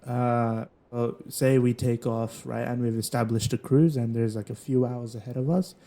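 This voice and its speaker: A man's voice: mid-range voice, steady tone, moderate pace, warm tone. A young man, a trainee pilot, chatting casually on a podcast and laughing easily. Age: young adult.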